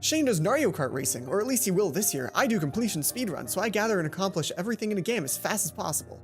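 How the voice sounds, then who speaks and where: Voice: medium pitch, big pitch swings, fast, faint music behind. A let's play host voicing a matter-of-fact schoolboy in a gaming club, explaining things earnestly, from a visual novel.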